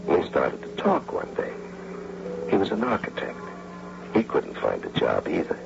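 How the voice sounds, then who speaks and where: A man's voice: low-pitched, wildly swooping pitch, normal speed, gravelly, exaggerated voice. An actor playing a big, touchy unemployed husband in a radio drama, brooding and emphatic as he speaks and narrates. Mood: reflective, brooding. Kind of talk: old-time radio drama acting and first-person narration. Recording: noisy dull vintage radio broadcast recording.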